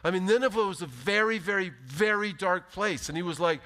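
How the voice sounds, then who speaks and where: A pastor in his fifties giving an animated, emphatic sermon from a church stage. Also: high-pitched, a little fast. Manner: animated, emphatic preaching with big gestures. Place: large church stage, indoors. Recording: long hall reverb.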